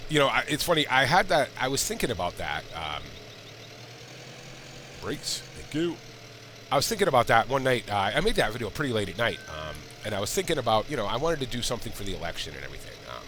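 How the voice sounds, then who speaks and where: A man with a congested, sick-sounding voice, chatting in a rambling way while he plays and comments on a farming game. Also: lowish voice. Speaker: gaming channel host. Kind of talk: casual let's-play commentary. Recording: loud game engine noise underneath.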